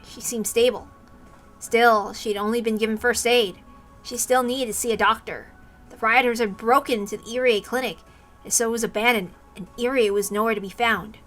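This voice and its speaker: A woman's voice: fairly high pitch, fairly bright tone. A woman streamer reading a story aloud on a livestream, slipping into casual asides.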